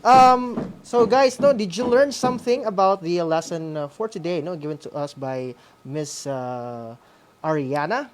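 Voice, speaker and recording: A man's voice: fairly high pitch, a little slow. Man, Filipino accent, hesitant phrasing, frequent tag questions, informal educational host. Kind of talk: informal lesson recap to students.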